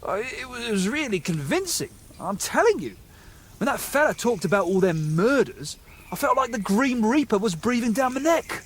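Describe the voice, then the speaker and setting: An actor playing a nervous, gullible young medieval bandit in a game, with a hesitant, stammering, excitable way of talking. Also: male voice, extremely high pitch, moderate pace, a little hiss or hum. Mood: spooked, earnest. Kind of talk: video game dialogue acting.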